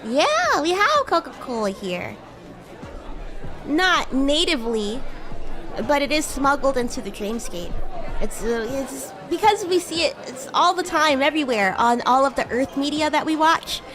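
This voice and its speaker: A woman's voice: high voice, sing-song, measured pace, over music, crowd noise. A woman streamer voicing a bratty, haughty yet sweet young demon classmate in improvised, teasing roleplay chat. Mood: chatty, teasing. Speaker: streamer, VTuber host.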